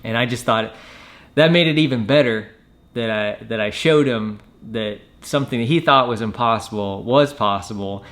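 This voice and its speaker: A man telling a personal story in a casual, matter-of-fact way with hesitant pauses. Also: medium pitch, a bit bright. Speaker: storyteller, former Marine recruit.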